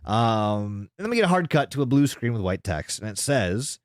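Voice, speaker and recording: A man's voice: mid-range voice, big pitch swings, normal speed, no echo, clean studio sound. A man co-hosting a podcast in casual chat, informal and emphatic, with plenty of swearing. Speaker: podcast co-host. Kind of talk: casual chat recapping a web horror series.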